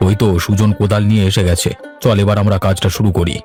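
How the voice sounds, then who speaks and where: A man's voice: fairly low pitch, flat delivery, quick pace, mellow, natural voice. A man playing a practical village farmer, one of several brothers, in cartoon dialogue. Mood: busy, willing. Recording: music under the voice. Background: instrumental music.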